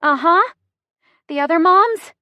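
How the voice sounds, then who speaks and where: A bossy, sharp-tongued woman in painful labor, as a female narrator puts her on for an audiobook. Her voice jumps high and strained, in clipped, indignant bursts. The sound is dry. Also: lively intonation, moderate pace, no room sound, muffled recording. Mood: pained, sarcastic. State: panting through pain.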